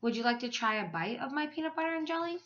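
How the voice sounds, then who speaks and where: A blunt, touchy young schoolgirl from a storybook, played by a woman, speaks brightly with big swoops in pitch. Every word is exaggerated and emphatic. The sound is slightly dull and lo-fi. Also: expressive, fast. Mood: shy, delighted.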